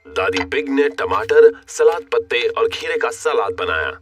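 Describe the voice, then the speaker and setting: A man's voice: medium pitch, big pitch swings, a little slow, distant mic. A man playing a friendly storyteller who reads scripted narration for a children's cartoon. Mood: cheerful. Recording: loud music behind.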